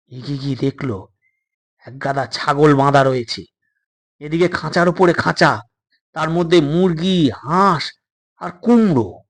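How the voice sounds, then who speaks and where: A male storyteller with a fairly high voice reads a suspense tale. He takes his time, speaking slowly and expressively, with a dramatic, measured delivery.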